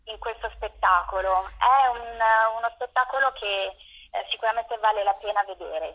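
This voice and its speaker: A woman interviewed over the phone as a theatre director, explaining her work in a thoughtful, halting way with drawn-out pauses. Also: mid-range voice, fairly bright tone, breathy edge. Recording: muffled, band-limited phone line.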